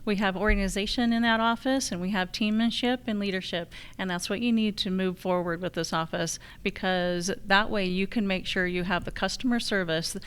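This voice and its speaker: A woman's voice: mid-range voice, fairly even intonation, a little fast, hardly any pauses, fairly bright tone, clear, resonant tone. An American woman speaking as a political candidate at a public forum, addressing voters directly. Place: live candidate forum on a college campus.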